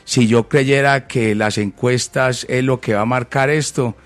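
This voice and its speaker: A man arguing his case as a candidate in a televised debate, blunt and insistent. His voice sits at an ordinary middle pitch. Faint music runs underneath. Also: fairly even intonation, unhurried. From Colombia. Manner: blunt, insistent, interrupts often. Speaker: presidential candidate. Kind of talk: heated political debate.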